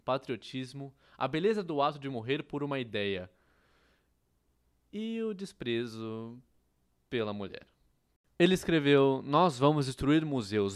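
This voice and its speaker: A man with a Brazilian accent reading a scripted educational narration, with a wry, ironic manner. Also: medium pitch. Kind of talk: scripted explainer narration, dubbed.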